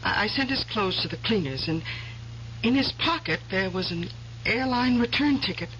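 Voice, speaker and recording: An actress playing a devoted young wife in a 1970s radio drama, speaking hesitantly and stammering. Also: female voice, mid-range voice, animated, moderate pace, a bit bright, lightly acted. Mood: earnest. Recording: muffled old radio broadcast with hiss.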